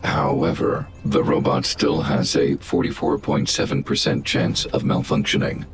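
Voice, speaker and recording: A man playing a calm, protective guardian robot in a video game, speaking in a formal, measured, analytical way. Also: very deep voice. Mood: calm, clinical. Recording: music behind the voice.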